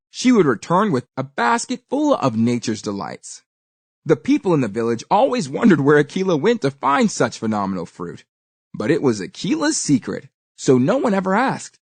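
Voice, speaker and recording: A woman reading a children's story aloud with expressive, deliberate and dramatic emphasis. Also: sits low, breathy edge, no echo.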